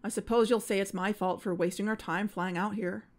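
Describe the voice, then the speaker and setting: A woman's voice: mid-range voice, fairly even intonation, fairly quick, natural voice. A woman playing an anxious, driven female podcast investigator in an audio drama, earnest and urgent. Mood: frustrated, weary.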